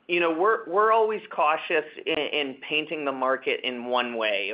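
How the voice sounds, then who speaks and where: A man speaking as a company executive answering questions on an earnings call, measured and confident, often restarting and repeating words. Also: medium pitch. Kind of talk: corporate earnings call Q&A, unscripted. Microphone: conference call webcast line. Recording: dull, band-limited conference call audio.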